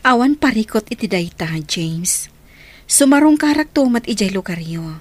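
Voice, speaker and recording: A woman's voice: fairly high pitch, animated, unhurried. A woman playing a friendly, shy sweetheart in a radio drama.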